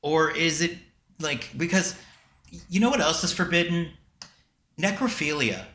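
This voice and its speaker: A man's voice: a little high, expressive, measured pace, bright, forceful tone, reverberant. A man hosting a casual podcast, rambling along and often stopping to repeat himself. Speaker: podcast host.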